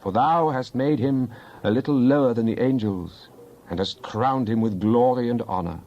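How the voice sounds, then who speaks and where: A man performs a fervent psalmist addressing God in a dramatized scripture production. The delivery is solemn and declamatory, at a medium male pitch. The recording sounds a little dull, with limited top end. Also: normal speed, dark tone, close to an everyday voice. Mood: exalted praise. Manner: solemn, declamatory, archaic diction. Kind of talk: dramatized King James Bible reading.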